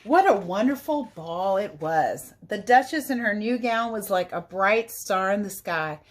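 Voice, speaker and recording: A woman teacher reading a storybook aloud to children in an expressive, animated way, pausing to explain things in simple asides. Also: mid-range voice.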